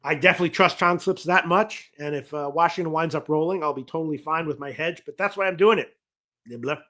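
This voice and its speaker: A man hosting a sports betting talk show, rambling and correcting himself as he reads viewer comments, his voice going hoarse. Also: on the high side, fast, sharp tone. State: losing his voice. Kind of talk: casual betting talk on a livestream.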